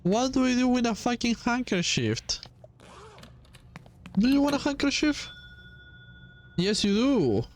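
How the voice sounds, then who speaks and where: High-pitched man doing casual game commentary, native Spanish speaker. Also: big pitch swings, a little slow, pauses often, a little hiss or hum. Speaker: gaming streamer playing a horror game demo.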